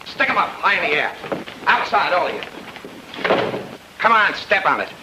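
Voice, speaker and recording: An actor playing a hard-boiled bank robber who barks curt, threatening orders in an old-time radio crime drama. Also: male voice, high voice, very animated, normal speed. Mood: menacing, curt. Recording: noisy vintage radio recording.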